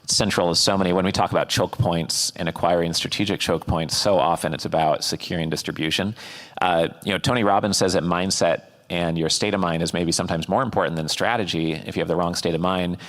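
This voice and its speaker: A man's voice: low voice, fairly even intonation, fast talker, a bit bright. A man hosting a fireside chat, asking long questions with many hesitant fillers. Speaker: host and interviewer.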